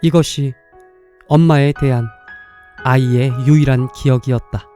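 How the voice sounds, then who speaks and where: A man narrating a scripted dramatic story in a measured, literary way, pausing between short phrases. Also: medium pitch, fairly even intonation, warm tone, soft background music.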